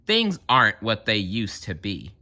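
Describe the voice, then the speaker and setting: A man's voice: lowish voice, wildly swooping pitch, unhurried, bright, forceful tone, exaggerated voice. A man voicing an elderly female unicorn from a storybook, wistful and fretful, with sighs and exclamations.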